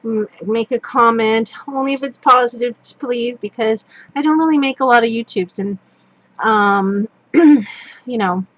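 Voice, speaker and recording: Hoarse woman demonstrating craft supplies. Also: a little high, measured pace, dull audio. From Northern California. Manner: rambling, full of ums and restarts. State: losing her voice, coughing. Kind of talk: casual product walkthrough. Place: indoors at a wooden table. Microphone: overhead camera on a tabletop, hands only.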